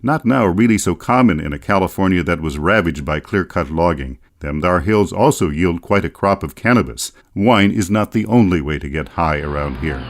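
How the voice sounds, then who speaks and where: Deep-voiced American man narrating wryly. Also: lively intonation, normal speed, hardly any pauses. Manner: wry, folksy storytelling. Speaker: radio feature narrator and author. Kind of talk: scripted radio documentary narration.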